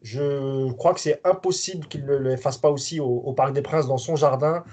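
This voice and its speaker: A man on a fan podcast panel, speaking in a measured, reassuring way. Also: medium pitch, darkish tone. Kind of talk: casual chat.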